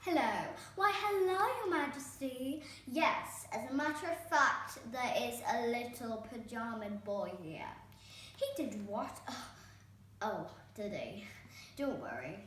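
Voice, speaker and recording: A woman's voice: a little high, animated, slow pace, a bit bright, very echoey, distant mic, slight background noise. A woman voicing a furious, menacing fairy-tale stepmother in a screeching, threatening storybook voice. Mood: haughty.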